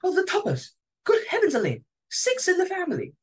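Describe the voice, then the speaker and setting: A woman's voice: extremely high pitch, wildly swooping pitch, fairly quick, a little mellow, full character voice. A woman playing a snobbish, domineering society mother in an amateur reading of a stage comedy, haughty and easily flustered. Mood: excited.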